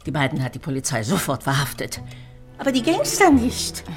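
Animated, gossipy guesthouse landlady. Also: low-pitched, fairly quick, music in the background. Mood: relishing, scandalized. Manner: talkative, relishing gossip, dramatic emphasis. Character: chatty, gossipy female guesthouse owner, homely and excitable. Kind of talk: German radio crime drama acting.

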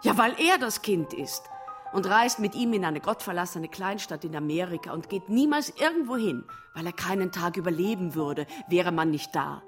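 An actress in a radio drama playing a bitter, proud, long-suffering wife, reproachful and theatrical. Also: female voice, lowish voice, lively intonation, normal speed, lightly acted. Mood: bitter, aggrieved. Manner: reproachful, theatrical, emotional monologues. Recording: studio radio play over music.

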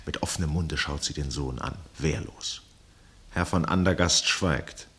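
Very deep-voiced male narrator. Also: fairly bright tone. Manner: measured, sober, literary. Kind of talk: scripted narration for radio drama.

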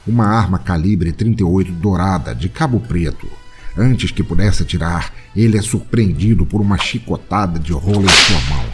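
Deep-voiced man narrating audio drama.

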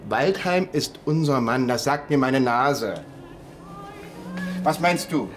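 A dogged, lively-voiced male sleuth. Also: mid-range voice, slow, a little hiss or hum. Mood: eager. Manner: probing, blunt, insistent. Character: dogged, nosy male amateur investigator, questioning bluntly. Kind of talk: naturalistic radio drama acting.

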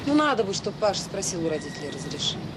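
An actress playing a kind, patient middle-aged director of a children's home in a radio drama, speaking tactfully and hesitantly. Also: female voice, fairly low pitch, very animated, very fast, noisy background. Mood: gentle, careful. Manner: gentle, tactful, hesitant when explaining. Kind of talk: naturalistic radio drama acting.

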